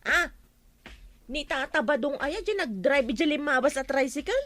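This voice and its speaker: An actress with an Ilocano accent playing an excitable village neighbor with exaggerated, drawn-out exclamations in a radio comedy drama. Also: female voice, high-pitched, no room sound. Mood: chatty.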